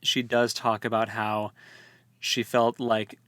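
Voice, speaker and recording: A man's voice: lowish voice, level tone, takes it slowly, fairly bright tone, dry recording. Casual man with a close, dry sound. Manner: informal, rambling, conversational. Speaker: podcast co-host. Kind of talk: casual book discussion.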